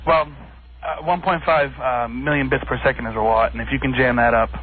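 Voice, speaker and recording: A man phoning in to a radio show, halting and nervous as he talks. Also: medium pitch, steady tone. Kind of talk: casual phone-in talk. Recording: tinny phone line with slight hiss.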